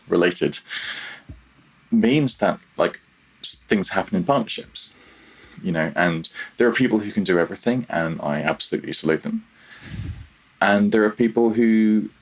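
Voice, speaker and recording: A man's voice: low-pitched, husky, poor audio. A man speaking casually and reflectively as a podcast guest, hesitant and self-deprecating in how he phrases things. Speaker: podcast guest, freelance audio developer.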